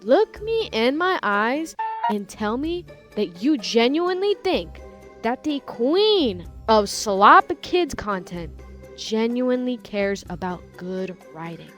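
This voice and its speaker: A woman's voice: a little high, very animated, slow, a bit bright, soft background music. A woman giving casual, opinionated commentary in an informal, slangy way, as the host of her own video.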